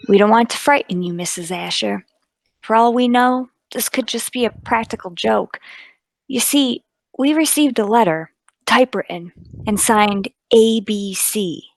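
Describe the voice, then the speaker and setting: A woman voicing a brisk, calm, businesslike police inspector in a radio drama. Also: on the high side, dry recording. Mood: calm, official. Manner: businesslike, clipped.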